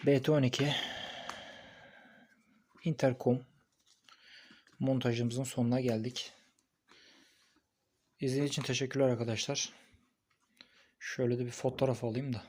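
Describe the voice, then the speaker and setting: A man's voice: medium pitch, flat delivery, moderate pace, long pauses, darkish tone. Casual man talking through a gadget review. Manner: informal, thinking aloud. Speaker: product reviewer. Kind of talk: casual hands-on unboxing and installation commentary. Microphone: phone recording.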